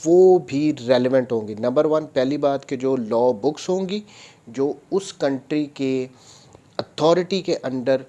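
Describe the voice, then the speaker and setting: A man with a Pakistani accent giving an explanatory law lecture, reading statutes aloud and going over each point step by step in a deliberate manner. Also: medium pitch, dark tone, dull audio.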